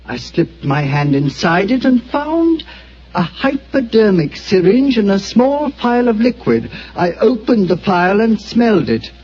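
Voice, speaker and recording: A man's voice: high-pitched, big pitch swings, a little slow, darkish tone, husky, full character voice. An actor playing an anxious, businesslike male client with an urgent, worried manner in an old radio drama. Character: anxious, brusque male insurance broker client. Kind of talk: 1940s radio acting. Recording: dull old radio broadcast with faint hiss.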